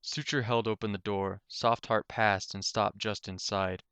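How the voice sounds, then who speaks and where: A man narrates a written story in a fairly low, clear voice. He is an amateur reader, and the recording is dry and close. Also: fairly even intonation, unhurried, fairly bright tone, no echo.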